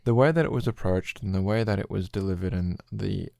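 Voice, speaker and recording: Low, congested Australian man. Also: a little fast, gravelly, mellow, no room sound, studio quality. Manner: rambling, explanatory, with fillers. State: congested head cold. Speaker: podcast host. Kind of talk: casual solo podcast talk.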